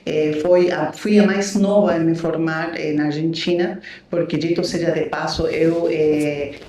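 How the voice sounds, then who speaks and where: A woman's voice: low voice, fairly even intonation, a little slow, far from the mic. A woman from Bolivia speaks Portuguese with a Spanish accent and tells her story with many small fillers. She sits well back from the mic, and the room around her echoes strongly. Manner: warm storytelling, frequent "né" and "eh" fillers. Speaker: guest, leadership mentor. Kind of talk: podcast interview.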